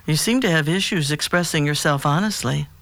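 An actor playing a calm, patient therapist in an audio drama, asking direct questions in a measured, gentle way. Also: mid-range voice, dry recording, natural voice. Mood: probing.

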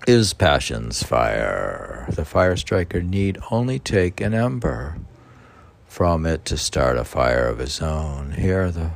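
A man hosting an informal poetry podcast, reading aloud haltingly and stumbling over words, with muttered asides. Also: sits low, vocal fry, a little mellow.

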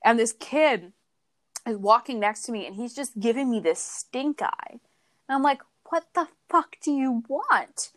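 A young woman co-hosting a casual podcast chat, with a voice a little higher than most. She tells stories in a blunt, crude, playful way. The sound is dry, with no room echo. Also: lively intonation, a little slow, a bit bright. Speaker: podcast co-host.

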